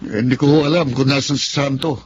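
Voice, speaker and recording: Actor as an evasive Filipino drinking buddy, muffled audio. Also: male voice, medium pitch, fairly even intonation, fast talker, natural voice. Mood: defensive. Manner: polite but defensive. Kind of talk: Tagalog radio drama acting. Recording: muffled radio audio with faint noise.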